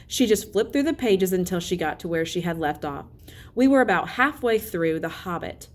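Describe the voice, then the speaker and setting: A woman's voice: lowish voice, animated, moderate pace. A woman teacher reading a children's novel aloud as a narrator. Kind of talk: storybook read-aloud narration.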